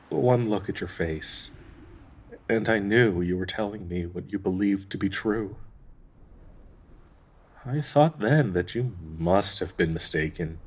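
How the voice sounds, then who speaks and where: A man acts out a tender male dryad in a fantasy audio roleplay, grieving and devoted. The voice is fairly low and quite husky, with a lot of breath in it. He speaks slowly and haltingly, wistful and in need of reassurance. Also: full character voice. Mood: tender, regretful. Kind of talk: intimate dramatic audio roleplay monologue.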